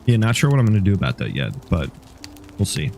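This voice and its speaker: A man's voice: lowish voice, huge pitch swings, quick pace, gravelly, darkish tone, slight background noise. A sniffly man, a game developer, talking casually through his code and rambling as he thinks aloud. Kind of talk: casual dev log.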